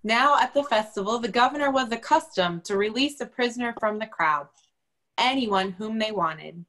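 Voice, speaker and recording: A woman's voice: on the high side, moderate pace, fairly bright tone. A woman giving a dramatic scripture reading as a church reader.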